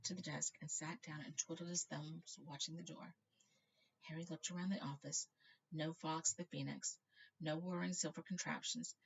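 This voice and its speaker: A woman's voice: deep voice, gravelly, dull audio, a little hiss or hum. A woman narrating a children's novel aloud, informally, stumbling now and then and correcting herself. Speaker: storybook reader.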